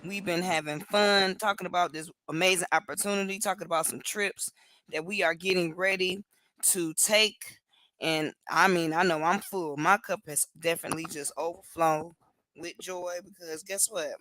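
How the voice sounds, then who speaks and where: A woman from Cleveland co-hosting a casual livestream chat from home over a video call, animated and laughing often. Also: on the low side, normal speed, no echo. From Cleveland, Ohio. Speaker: co-host.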